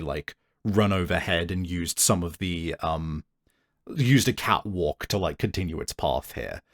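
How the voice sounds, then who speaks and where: Low-pitched man running a tabletop game. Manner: descriptive, conversational, addressing the player directly. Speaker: game master. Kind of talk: improvised tabletop game narration.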